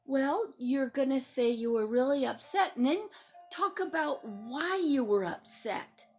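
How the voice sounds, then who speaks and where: A woman playing a patient teacher who gently and deliberately coaches a child in a children's role-play story. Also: sits high, soft background music. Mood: guiding. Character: patient, soothing adult teacher guiding upset child.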